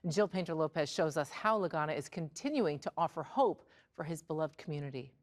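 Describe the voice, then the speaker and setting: A woman's voice: deep voice, animated, fairly quick. A woman with an American accent reading a scripted news introduction as an anchor.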